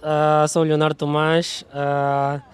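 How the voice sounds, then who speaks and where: A man's voice: a little high, monotone, slow pace. A man from Angola introducing himself thoughtfully in an interview. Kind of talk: interview answer.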